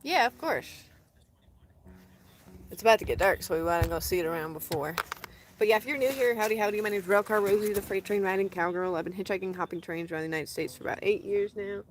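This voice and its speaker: A woman from Colorado chatting casually on a live stream outdoors in the desert, rambling, laughing often and dropping into soft asides. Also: medium pitch, fast, a little hiss or hum. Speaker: van-dwelling traveler streaming live.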